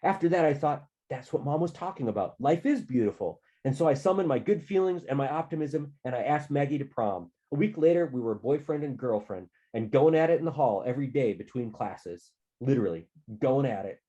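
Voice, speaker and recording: A man reading a novel aloud as a goofy, lovestruck, optimistic teenage boy, excitable and earnest in an animated first-person telling. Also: medium pitch, fairly quick, hardly any pauses, highs cut off, voice pushed up, lightly acted. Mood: hopeful, smitten. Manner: excitable, earnest, slangy and emphatic.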